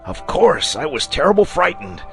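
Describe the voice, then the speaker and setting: A man's voice: fairly high pitch, sing-song, moderate pace, vocal fry, faint music behind, pitched above the performer's own voice. A man voicing an agitated, superstitious country shepherd in an audiobook, with rustic, exclamatory phrasing. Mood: frightened. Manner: rustic dialect grammar, exclamatory.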